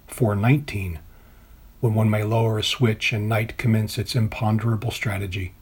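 A man reads his own poem in a measured, deliberate, literary way, as a poet at a reading. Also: lowish voice, fairly even intonation, breathy edge. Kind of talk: poetry reading of own work.

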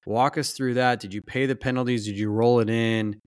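A man's voice: medium pitch, fairly even intonation, fairly quick, smooth, dry recording, studio quality. A man hosting a conversational interview, putting questions to a guest. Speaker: podcast host, interviewer.

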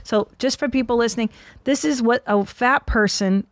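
A woman's voice: on the high side, moderate pace, no echo. A woman in her forties from Canada hosting a podcast, chatting casually in a blunt, profane, self-mocking way. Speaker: podcast host. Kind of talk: casual comedic chat.

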